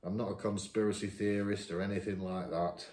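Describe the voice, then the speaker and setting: A man's voice: deep voice, level tone, a little fast, darkish tone. A 51-year-old man from Lincolnshire, reading questions aloud at his dining table and answering them in a chatty, self-mocking way. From Lincolnshire, England. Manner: reads each question aloud then answers chattily, laughs at himself. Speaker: YouTuber answering viewer questions. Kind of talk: casual question-and-answer chat. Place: dining room at home, seated at a table. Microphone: camera across the table. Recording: echoey room.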